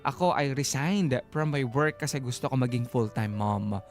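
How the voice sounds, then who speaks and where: A man's voice: medium pitch, moderate pace, creaky, faint music behind. A man with a Filipino accent co-hosting a casual talk show, bantering expressively, agreeing and reading out listener comments.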